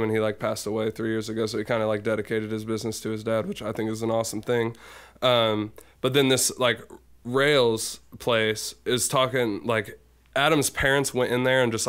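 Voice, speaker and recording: A man with a smooth, clear voice chats casually as a guest on a podcast. He rambles along, loading his sentences with fillers and little asides. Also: on the low side, flat delivery, fairly quick, fairly bright tone. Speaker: guest musician.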